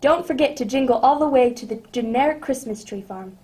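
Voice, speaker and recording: An affable radio host played by a woman in the old-time announcing manner. The voice is a little high, with a soft, mellow tone. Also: a little fast, close to an everyday voice.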